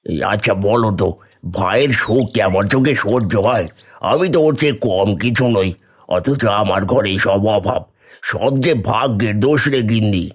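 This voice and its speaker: A man's voice: fairly low pitch, moderate pace, husky. A man playing an envious, scheming village younger brother who grumbles resentfully in a moral-tale cartoon. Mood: grumbling envy.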